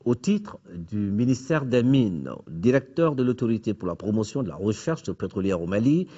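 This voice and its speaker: A man with a Malian accent reading an official communiqué as a formal news presenter, measured and halting a little on names. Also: on the low side, sing-song, warm tone, breathy edge. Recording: dull, lo-fi broadcast sound.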